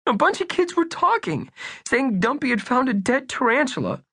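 A woman's voice: very animated, a bit bright, husky, full character voice. A woman voicing a worried, whiny young boy telling about his lost cookies. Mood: upset. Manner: high, pleading, rushed. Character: anxious young boy client, whiny and upset.

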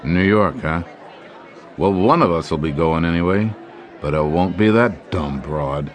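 An actor playing a scheming male jazz clarinetist in an audio drama, smooth-talking and sly. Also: sits low, unhurried, a faint crowd. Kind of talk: radio drama acting.

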